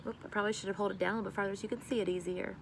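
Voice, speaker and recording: A woman's voice: mid-range voice, fairly even intonation, rapid-fire, creaky, slight background noise. A woman reading a children's story aloud expressively, like a teacher addressing her class.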